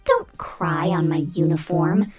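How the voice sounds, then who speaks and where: Woman voicing J, a breathy, domineering robot boss. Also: low-pitched, darkish tone, faint music behind. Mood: cold, menacing. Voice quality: breathy, whispery. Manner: condescending, menacing, slow deliberate delivery slipping into whispers. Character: domineering, vain female robot corporate boss with contempt for humans. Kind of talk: ASMR roleplay monologue, scripted.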